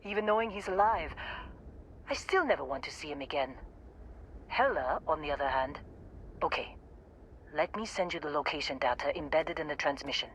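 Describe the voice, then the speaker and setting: A gruff female outpost supervisor with a low voice. She talks in a dry, blunt, no-nonsense way, naturalistic as a video game character, over faint background ambience. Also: expressive, moderate pace, long pauses, a little hiss or hum.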